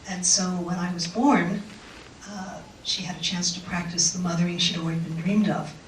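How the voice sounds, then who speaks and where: A woman's voice: on the low side, level tone. Woman, invited speaker, reflective storytelling, auditorium, distant mic, faint background noise. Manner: unhurried, reflective storytelling with pauses. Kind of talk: personal talk to an audience. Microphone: microphone. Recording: echoey hall mic heard from afar.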